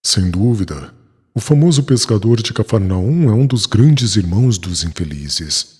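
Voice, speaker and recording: Man doing humble young ex-rabbi, deep husky voice, solemn, long reverb. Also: lively intonation, a little slow, darkish tone, full character voice. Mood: moved.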